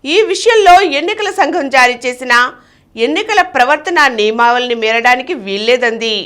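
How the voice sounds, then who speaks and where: Female news presenter, high pitch, very animated melody, reads scripted bulletins.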